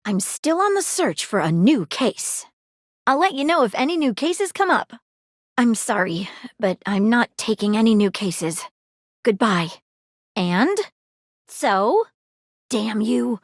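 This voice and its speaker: A flirty, nosy magnifying-glass sleuth with a lilt. Her voice sits fairly high and leaps around with drama and play. It sounds close and dry, with no room around it. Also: sing-song, slow, pauses often, dry recording, full character voice. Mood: detective. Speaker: dateable game character. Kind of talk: video game voice lines. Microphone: close studio mic.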